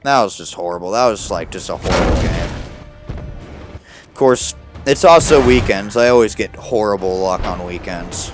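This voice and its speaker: A man's voice: deep voice, moderate pace, music in the background. A young American man giving casual, sarcastic commentary while streaming a game, grumbling about his bad luck. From United States.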